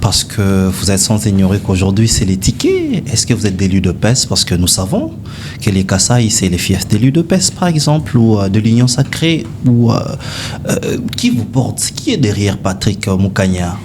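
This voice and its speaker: A man with a fairly low voice presents a TV interview in a formal presenter's manner and asks pointed questions. Steady background noise runs under his voice. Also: normal speed. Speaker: TV journalist host. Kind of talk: TV interview hosting.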